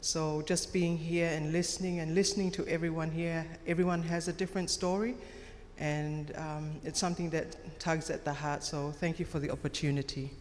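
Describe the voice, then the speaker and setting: A woman's voice: low voice, steady tone, moderate pace, darkish tone. Casting: woman, courteous meeting speech, reverberant hall with some noise. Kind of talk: polite introduction to a meeting. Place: community hall. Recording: echoey hall, background noise.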